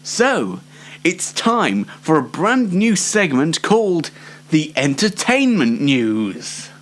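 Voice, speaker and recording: A man's voice: on the high side, very animated, slow. A twenty-year-old British man presenting an informal solo online show in a dry, tongue-in-cheek, rambling way.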